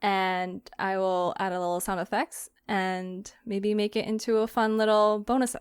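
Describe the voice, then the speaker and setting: A woman's voice: medium pitch, level tone, moderate pace, smooth, no echo. A woman hosting a podcast in a casual, chatty style, with a giggly manner. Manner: giggly, laughs often. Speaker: fiction podcast creator and host. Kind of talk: casual chat.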